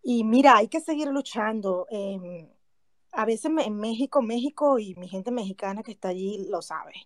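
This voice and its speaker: A woman's voice: medium pitch, animated, normal speed, no echo, studio quality. A woman with a Venezuelan accent speaking earnestly and from the heart on a remote audio call. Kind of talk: personal remarks in an online audio chat room.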